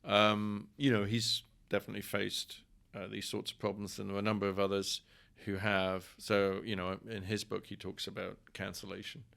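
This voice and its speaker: Low, creaky English man. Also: monotone, normal speed, hardly any pauses, fairly bright tone. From England. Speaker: professor, podcast guest. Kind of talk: conversational opinion interview.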